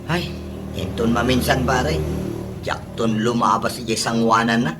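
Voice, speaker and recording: A man's voice: medium pitch, measured pace, over loud music, close to an everyday voice. An actor with an Ilocano accent playing a hard-up, apologetic man among drinking friends in a comedy radio drama.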